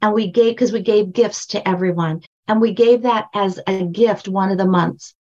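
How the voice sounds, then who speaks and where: An older woman with a high voice, a guest on a podcast interview, telling stories with emphatic repetition. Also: moderate pace, dark tone, dull audio. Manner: emphatic, storytelling, repeats phrases for stress. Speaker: guest, brand strategist and book coach.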